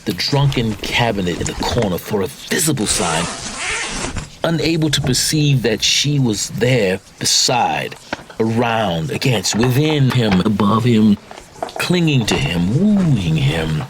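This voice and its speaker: A man with a low voice narrates a classic macabre story. His delivery is theatrical and deliberately phrased, in the manner of dramatic literary reading, over faint background noise. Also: sing-song. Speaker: story reader.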